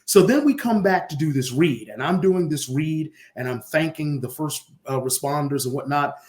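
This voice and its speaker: A man's voice: on the high side, animated, normal speed, a little mellow. A man, an arena announcer, telling casual stories as an interview guest and acting out bits of dialogue. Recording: roomy echo on the voice.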